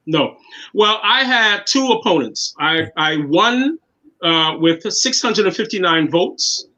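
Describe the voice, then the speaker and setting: Man in his 50s, interview guest, bright voice, muffled lo-fi sound. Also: high voice, animated, takes it slowly. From Guyana. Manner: earnest, repeats words while finding his thoughts. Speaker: guest, city councilman. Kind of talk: casual interview talk. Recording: dull lo-fi sound.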